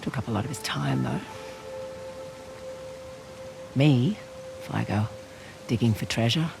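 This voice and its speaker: A woman's voice: rumbling low, normal speed, vocal fry, noisy background. A woman playing a wry, teasing, adventurous treasure hunter in a video game cutscene. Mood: wry, easygoing.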